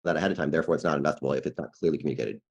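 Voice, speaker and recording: A man speaking as an investor judge, giving direct, emphatic, lecturing feedback on a pitch. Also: very fast, gravelly.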